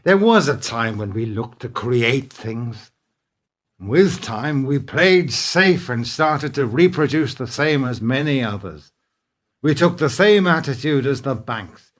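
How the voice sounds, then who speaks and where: An actor playing a weary, reproachful middle-aged business partner in a radio play, speaking in an earnest, measured way. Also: male voice, mid-range voice, animated. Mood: weary, resigned.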